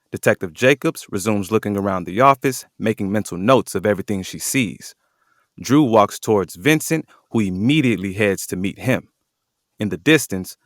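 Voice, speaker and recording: A man's voice: lowish voice, fairly bright tone, dry recording, studio quality. A man reading scripted narration for an audio drama as its narrator. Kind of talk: scripted present-tense narration.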